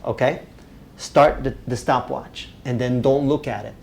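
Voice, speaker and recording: A man from Romania, teaching a live public speaking workshop, energetic and often checking in with the group. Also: mid-range voice, unhurried, vocal fry, a little hiss or hum. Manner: energetic, checks in with "right?" constantly.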